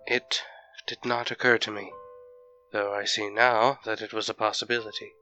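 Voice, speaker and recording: Aloof immortal mentor played by an actor: low, very even, condescending and measured, for fantasy audio drama. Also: male voice, soft background music, low-quality recording. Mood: detached. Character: ancient male planeswalker mentor, bored, aloof, condescending.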